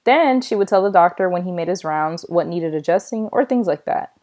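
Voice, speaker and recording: A woman reading a children's novel aloud in a storytelling style, with expressive delivery. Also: on the low side, a little fast. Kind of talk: read-aloud storytelling with acted dialogue.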